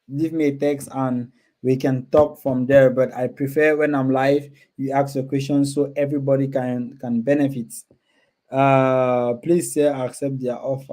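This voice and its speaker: Mellow-voiced man chatting on a livestream. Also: medium pitch. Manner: repeats words and phrases. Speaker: live stream host. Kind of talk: casual livestream chat, reading and answering viewer comments.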